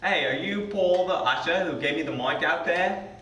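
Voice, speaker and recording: A man's voice: on the high side, normal speed, fairly bright tone, lightly acted. Man putting on a brash rock star, echoey. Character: brash British male rock star singer. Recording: noisy echoey room, distant mic.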